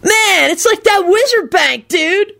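Female reader as young stoner guy, audiobook character, gleeful, smug, slangy drawl, animated pitch. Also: moderate pace. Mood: delighted. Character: excitable stoner young man, gleeful, teasing, stubborn.

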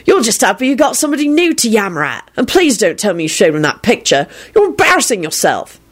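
A woman's voice: a little high, very animated, fast talker, pitched above the performer's own voice. A woman voicing an irritable, foul-mouthed young man in a story, snappish and exasperated. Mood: disgusted.

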